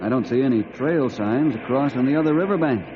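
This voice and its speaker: Ranger hero, acted by a man: calm authority, measured delivery, muffled vintage radio sound with faint noise. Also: mid-range voice, natural voice. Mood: steady, resolute. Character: steady, commanding male ranger leader. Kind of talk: old-time radio drama acting. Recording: dull, noisy old radio recording.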